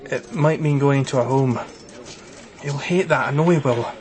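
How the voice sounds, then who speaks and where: A man's voice: mid-range voice, moderate pace, a little mellow, lightly acted. An actor playing a tired, worried young male detective in a radio crime drama, hesitant and downcast. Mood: worried, weary.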